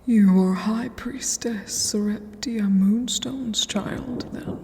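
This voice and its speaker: A haughty, ageless vampire queen for a fantasy ASMR roleplay. Her words come extremely slowly in a regal, condescending half-whisper with a crackling fry. A long, cavernous reverb surrounds her. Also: medium pitch, fairly even intonation, darkish tone. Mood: haughty, cool. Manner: formal, measured, imperious, breathy half-whisper.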